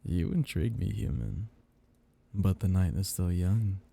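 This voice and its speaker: A man playing a seductive, possessive vampire captor in an intimate ASMR roleplay, teasing and condescendingly tender in a breathy, gravelly murmur. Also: sits low, a little slow, warm tone, heavily acted. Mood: smug, possessive.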